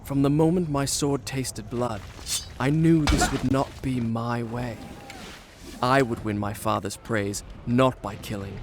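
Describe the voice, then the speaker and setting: An actor playing a proud, rueful young prince who narrates his tale in formal, literary, measured phrasing for a video game. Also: mid-range voice, fairly even intonation, noisy background, lightly acted. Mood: proud, reflective. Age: young adult. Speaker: narrator and protagonist. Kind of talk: scripted dramatic storytelling narration. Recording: music playing behind the voice.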